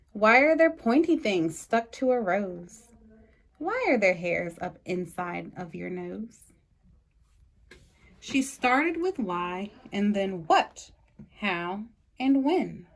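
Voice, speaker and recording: A woman reading a rhyming picture book aloud for storytime, with a lively sing-song delivery and slow, emphatic pauses. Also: mid-range voice. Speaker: storytime reader.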